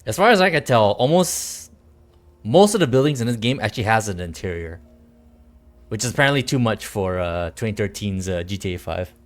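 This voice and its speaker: Low-pitched man rambling over gameplay. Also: lively intonation. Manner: rambling, halting, low-key. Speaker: game streamer. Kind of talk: casual live gameplay commentary. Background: faint game music.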